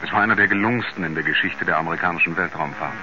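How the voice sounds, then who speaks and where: An actor playing a writer soberly recounting his astronaut friend's story in a 1970s radio drama, in a measured, grave manner. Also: deep voice, sharp tone, highs cut off, slight background noise. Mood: sober, foreboding. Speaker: narrator. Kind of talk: 1970s German radio play narration. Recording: band-limited 1970s radio recording with music.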